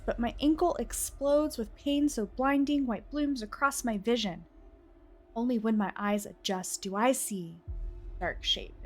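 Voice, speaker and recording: A woman reading a visual novel aloud as a game streamer, with expressive, theatrical delivery. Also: a little high, slight background noise. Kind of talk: dramatic narration of a visual novel with casual asides.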